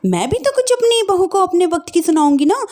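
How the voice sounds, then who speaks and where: A woman's voice: high-pitched, expressive, normal speed. A woman voicing a put-upon young daughter-in-law with a cheeky streak, plaintive and pointed, in a family story. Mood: defiant.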